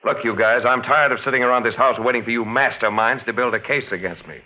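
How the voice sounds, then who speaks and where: An actor playing a cocky, impatient lawyer in a 1940s radio mystery, brash and challenging. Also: male voice, medium pitch, quick pace, natural voice. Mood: defiant, impatient. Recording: tinny, noisy 1940s radio recording.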